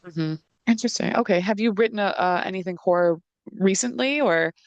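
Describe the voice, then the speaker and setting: A woman's voice: medium pitch, brisk, no echo, dull audio. A woman in her thirties asking casual interview questions on a video call. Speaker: interviewer, podcast producer. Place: indoor room, video call. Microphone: computer video call, wearing headphones.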